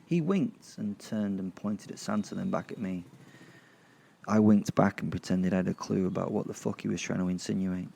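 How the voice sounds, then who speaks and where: Deep, gravelly, sardonic male storyteller. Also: dark tone. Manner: sardonic, halting with dramatic pauses and repetitions. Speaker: narrator of a horror audio drama. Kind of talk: first-person dramatic storytelling in character.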